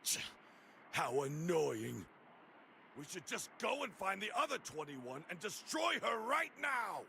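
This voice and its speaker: An actor playing a proud, scornful, combative warrior with a gravelly voice in an English anime game dub. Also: male voice, high voice, big pitch swings, measured pace, a little hiss or hum, full character voice. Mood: irritated. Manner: scornful, curt. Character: proud, irritable, combative male warrior prince. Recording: loud game music behind.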